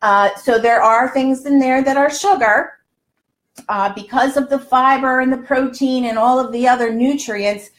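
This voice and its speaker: A woman in her sixties chatting casually to viewers as host of a live cooking show, folksy and emphatic. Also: fairly high pitch. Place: home kitchen, indoors.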